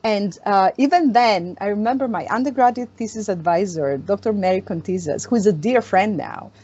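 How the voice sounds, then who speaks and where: A woman from Greece, an astronomer answering interview questions in an animated, storytelling way, often laughing. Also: mid-range voice, normal speed, slightly rough, muffled recording. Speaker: astronomer, guest. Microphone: video call.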